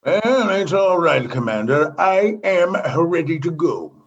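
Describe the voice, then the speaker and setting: Man playing a husky, officious commodore. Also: on the high side, lively intonation, a little slow, lo-fi sound, heavily acted. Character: officious, smug male commodore evaluating the station. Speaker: actor in a comedy radio show. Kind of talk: comedy sci-fi radio acting.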